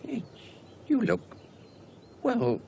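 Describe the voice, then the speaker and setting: A man's voice: extremely high pitch, sing-song, vocal fry, a little mellow, slight background noise, full character voice. An actor playing an obliging, curious British postman in a radio drama, informal and chatty. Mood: helpful, curious. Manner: unhurried, informal.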